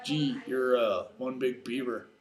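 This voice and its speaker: A man's voice: medium pitch, fairly even intonation, measured pace, roomy sound, natural voice. Man playing goofy, nervous, folksy storybook moose. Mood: nervous, placating. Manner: hesitant, folksy, with "eh" and "uh". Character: two dim, chatty, nervous male moose brothers.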